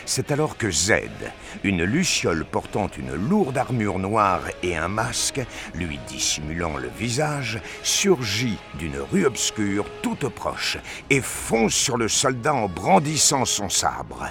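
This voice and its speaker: A man's voice: lowish voice, huge pitch swings, slow, gravelly, few pauses, a bit bright, faint music behind. A man reading scripted narration for a science fiction audio drama.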